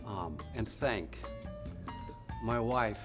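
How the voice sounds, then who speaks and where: An actor playing a proud middle-aged team leader giving a halting, effusive speech of thanks in a film. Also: male voice, fairly low pitch, over loud music. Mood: grateful, proud. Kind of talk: informal award acceptance speech, film acting.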